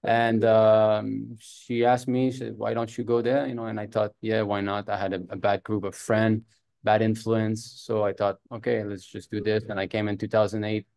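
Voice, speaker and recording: A man's voice: on the low side, flat delivery, normal speed, gravelly, a little mellow, smooth. A man in his thirties from Canada, a native French speaker, telling his personal story as an interview guest. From Montreal, Canada. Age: 30s. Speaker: podcast guest.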